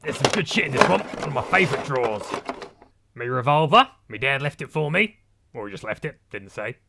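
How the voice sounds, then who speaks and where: A man's voice: medium pitch, animated, a little fast, creaky, heavy background noise. A British man playing a middle-aged, veteran cosmic monster hunter in a comedy cartoon, blunt, bossy and sweary, bragging casually as if to a documentary camera. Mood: gruff, boastful. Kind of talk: mockumentary comedy voice acting, talking to camera.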